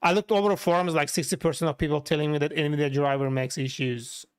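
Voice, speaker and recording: Turkish accent guy streaming, smooth clear voice, kinda high, talking casually to chat. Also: fairly even intonation, quick pace. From Turkey. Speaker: livestreamer, software developer. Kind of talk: casual livestream chat, answering viewers while working.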